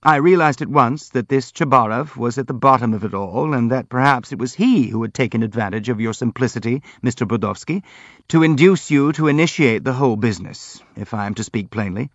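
A man's voice: mid-range voice, fairly bright tone, no room sound, muffled recording. A man voicing an earnest, polite prince in an audiobook, frank and anxious to placate. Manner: earnest, hurried, polite pleading. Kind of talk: audiobook character acting.

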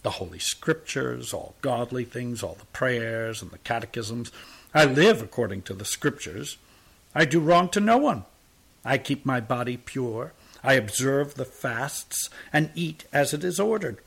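A man voicing a pious, self-pitying male tramp with a soft, drawling, sickly sweet voice. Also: medium pitch, expressive, measured pace, gravelly, breathy edge, exaggerated voice.

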